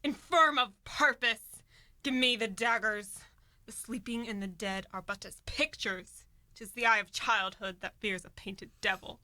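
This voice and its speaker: A steely, scheming noblewoman, acted by a woman in a Shakespearean radio play. Her voice is bright and forceful in a dry recording. She is scornful and commanding as she delivers the verse. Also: a little high, fairly even intonation, measured pace, no room sound. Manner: commanding, dramatic, urgent Elizabethan verse delivery.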